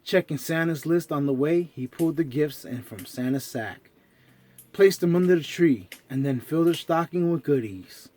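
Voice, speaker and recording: A man with a slightly high voice reads a children's story. He narrates expressively, as a storyteller does for young listeners. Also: lively intonation, normal speed, mellow.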